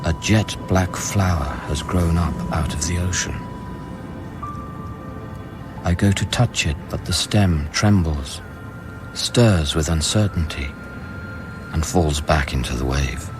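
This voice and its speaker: A man acting in a radio play as a grieving, contemplative scientist on an alien world, speaking in a hushed, deliberate way. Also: rumbling low. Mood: absorbed, wondering. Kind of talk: radio drama acting with first-person narration. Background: music.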